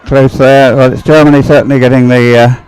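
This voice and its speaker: Male live sports commentator, soft-edged relaxed tone, unscripted, pausing and meandering as he thinks aloud. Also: medium pitch, clear, resonant tone, no room sound, low-quality recording.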